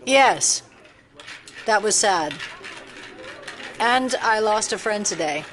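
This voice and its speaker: A woman's voice: mid-range voice, lively intonation, slow pace, a bit bright, a little hiss or hum. An actress playing a wary, saddened female federal agent in a naturalistic, guarded manner for an audio drama. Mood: sad, guarded.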